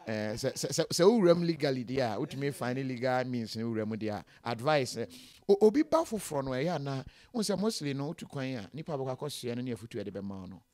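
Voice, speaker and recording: A man's voice: medium pitch, sing-song, quick pace, hardly any pauses, darkish tone. A Ghanaian man hosting an interview, chatting casually in a mix of English and Twi and reading out comments from viewers.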